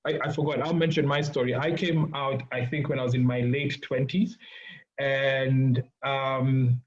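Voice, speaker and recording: A man's voice: medium pitch, highs cut off. A man moderating an online panel discussion, speaking loosely with fillers and self-corrections.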